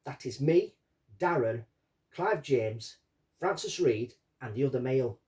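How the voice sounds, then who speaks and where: A man reading out a witness statement and commenting on it as a true-crime host, in deliberate short phrases. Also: mid-range voice, lively intonation, darkish tone. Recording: distant from the microphone.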